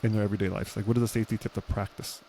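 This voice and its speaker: A man hosting a podcast, asking questions in quick, agreeable casual chat. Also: on the low side, little pitch movement, warm tone, faint music behind, poor audio. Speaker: podcast host.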